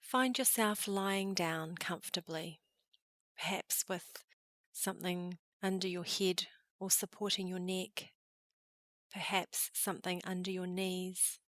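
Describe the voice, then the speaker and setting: Woman doing guided meditation, lowish voice, really dry close sound, calm n gentle. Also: no room sound. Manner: calm, gentle, unhurried phrasing with soft trailing endings. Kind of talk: guided meditation, slow soothing narration.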